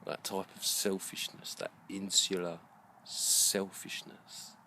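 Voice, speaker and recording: A middle-aged British man giving a slow, reflective monologue in an earnest, deliberate way with halting phrasing. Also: deep voice, flat delivery, pushed and bright, a little hiss or hum, rough recording. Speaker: video blogger.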